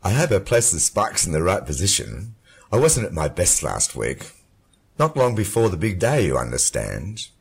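A sardonic dead husband taunts his widow, as a man voices him. His very deep voice swings widely in pitch and grinds with gravel. A breathy, husky edge hangs under the warm, controlled delivery. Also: big pitch swings, moderate pace, heavily acted. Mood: mocking. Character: mocking dead husband, middle-aged man, cynical.

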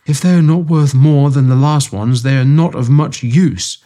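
A man's voice: fairly high pitch, lively intonation, normal speed, mellow, slightly rough, pitched above the performer's own voice. A man reading aloud voices a sullen, miserly older man who grumbles sardonically. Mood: grudging. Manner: peevish, complaining.

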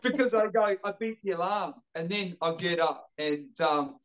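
A man's voice: sits high, moderate pace, highs cut off. A man in his late fifties with a New Zealand accent, chatting casually as a podcast guest, telling stories energetically and often repeating his words as he goes. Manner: energetic, stammering repetitions. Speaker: podcast guest, jiu-jitsu coach. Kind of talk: casual chat, animated storytelling.

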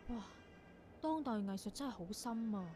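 Woman acting a chatty eager young intern in a radio play, high girlish voice, hong kong cantonese, bit of background noise. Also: lively intonation, normal speed, mellow, close to an everyday voice. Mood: bright, curious.

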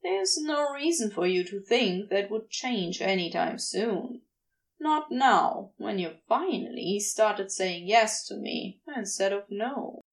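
A woman's voice: medium pitch, animated, slow pace. A woman voicing a sardonic, grumbling male demon in an audio story, blunt and dry but devoted underneath. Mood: exasperated, devoted. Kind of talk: fan fiction audio reading, acted dialogue.

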